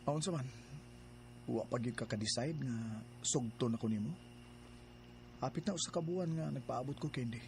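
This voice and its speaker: A man's voice: mid-range voice, normal speed, vocal fry, long pauses, darkish tone, slight background noise, close to an everyday voice. An actor playing an earnest young man in a radio drama, courting with a hesitant, pleading manner. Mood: tender, coaxing.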